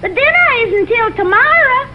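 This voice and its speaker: A woman's voice: very high-pitched, expressive, normal speed. A woman playing a cheerful, homely housewife in a vintage animal cartoon. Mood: homely, chatty. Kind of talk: vintage cartoon sitcom acting. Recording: muffled, heavily noisy vintage TV soundtrack.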